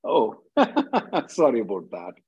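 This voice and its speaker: A man's voice: mid-range voice, wildly swooping pitch, slow, darkish tone, husky, muffled recording. A man hosting a call-in as an attorney, reading out questions and answering informally in a blunt, emphatic way, often repeating himself.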